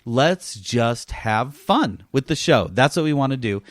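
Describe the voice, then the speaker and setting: A man's voice: mid-range voice, expressive, unhurried, no echo, studio quality. A man co-hosting a podcast, chatting casually and emphatically about games. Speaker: podcast co-host. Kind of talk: casual gaming chat.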